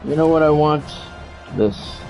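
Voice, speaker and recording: A man streaming a football video game, giving excitable play-by-play and bluntly talking at the players in short, urgent, repeated phrases. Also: a little high, a little slow, creaky, soft background music, low-quality recording. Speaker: gaming streamer doing play-by-play.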